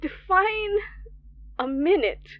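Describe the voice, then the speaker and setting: A woman's voice: extremely high pitch, animated, slow pace, muffled recording, heavily acted. A woman playing a frightened radio DJ trapped overnight in a horror audio drama, speaking in a shaky, hesitant way. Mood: frightened, confused.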